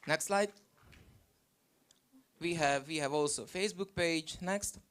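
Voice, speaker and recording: A man from Hungary walks through a slide presentation, pausing often with fillers between points. His voice sits a little high and comes through smooth and resonant over a video call. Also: fairly bright tone. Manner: halting, many fillers. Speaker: geopark presenter.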